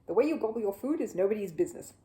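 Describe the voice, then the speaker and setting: A woman's voice: mid-range voice, animated, dark tone, lightly acted. Woman acting a stern, scolding housekeeper. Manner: scolding, brisk. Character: sharp-tongued, stern but protective housekeeper woman.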